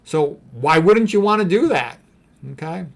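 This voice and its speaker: A man's voice: high-pitched, animated, unhurried. A man talks off the cuff as a doctor advising on health. He is blunt and opinionated, and he drives his points home by repeating key phrases. His commentary is informal and emphatic. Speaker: doctor giving health advice. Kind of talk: informal commentary on read quotes.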